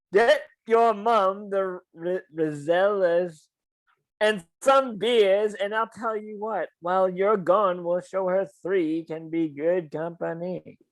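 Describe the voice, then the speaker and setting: A reader in an amateur table read playing a big, intimidating, bullying stepfather, with slow, drawling menace. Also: medium pitch, steady tone, dry recording, low-quality recording. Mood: menacing. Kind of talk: amateur table read over video call.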